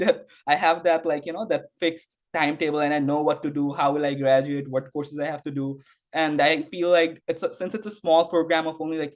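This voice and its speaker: A young man from Bangladesh, a university student, answering interview questions casually with frequent fillers and restarts. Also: a little high. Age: young adult. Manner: rambling, heavy use of "like", frequent restarts.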